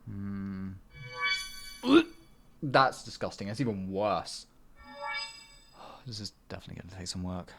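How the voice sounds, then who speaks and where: A man voicing a sadistic male wizard in an ASMR fantasy roleplay, cruel yet doting, teasing and cooing with soft whispers. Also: low-pitched, a little slow, pauses often, soft background music, heavily acted. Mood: mocking. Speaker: voice actor.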